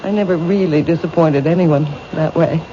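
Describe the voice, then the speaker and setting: A woman's voice: low-pitched, moderate pace, lightly acted. An actress playing a timid, fearful young woman who owns a bookshop, speaking hesitantly and stammering in a 1970s radio drama. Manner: hesitant, soft-spoken, stammering. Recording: dull old radio broadcast, noisy.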